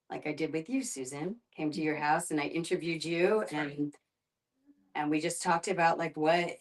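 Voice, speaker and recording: A woman facilitating a group discussion, speaking conversationally and reflectively, often pausing to find her words. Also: low-pitched. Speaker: guest facilitator, end-of-life doula and filmmaker.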